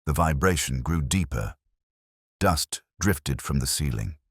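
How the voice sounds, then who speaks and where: A man with an extremely low voice narrates scripted mystery fiction. His delivery is solemn and measured, yet the pitch moves expressively, in a dry, close sound. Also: slightly rough, no echo. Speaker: audiobook narrator of a detective story. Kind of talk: scripted dramatic story narration.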